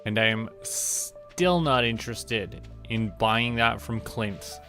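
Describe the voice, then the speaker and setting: A man giving casual gameplay commentary, rambling and relaxed as he talks to himself. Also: on the low side, slow pace, soft background music. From Canada. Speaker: streamer.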